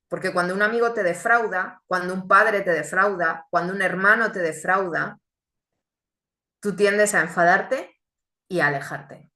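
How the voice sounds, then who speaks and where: Bright-voiced woman sharing her testimony. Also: medium pitch, reverberant. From Chile, raised in Spain. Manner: earnest and reflective, with deliberate pauses. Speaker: speaker giving a testimony. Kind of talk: religious testimony talk.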